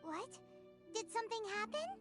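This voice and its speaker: A woman voicing a small, excitable childlike fairy companion in a video game, squeaky and exclamatory. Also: moderate pace. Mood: surprised, worried. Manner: exclamatory, refers to self in third person. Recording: music behind the voice.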